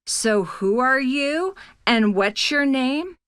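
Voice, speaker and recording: A woman's voice: high-pitched, lively intonation, slow, fairly bright tone, clear, resonant tone, no echo, studio quality, pitched above the performer's own voice, full character voice. A woman voicing a brave, stern young female storybook rabbit with a bold, scolding manner. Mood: questioning.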